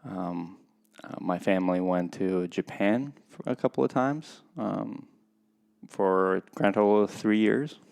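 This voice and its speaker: Guy from russia in his 30s, deep gravelly voice, thinks out loud on a podcast, lots of pauses. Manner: reflective, unhurried, hesitant with many pauses. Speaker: podcast guest, skydiving competitor. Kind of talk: casual interview conversation.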